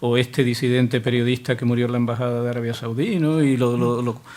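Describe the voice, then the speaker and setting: A man's voice: medium pitch, level tone, brisk, darkish tone. An older man moderating a public colloquium, giving his opinions in a conversational way and inviting questions. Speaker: moderator and speaker. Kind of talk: colloquium discussion.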